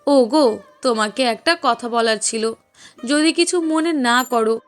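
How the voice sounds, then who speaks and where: A woman's voice: on the high side, measured pace, lightly acted. A woman playing a loving, caring young wife in a cartoon, speaking in a sweet, coaxing, emotive way. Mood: hesitant. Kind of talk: cartoon dialogue acting. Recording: voice over prominent music bed. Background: music.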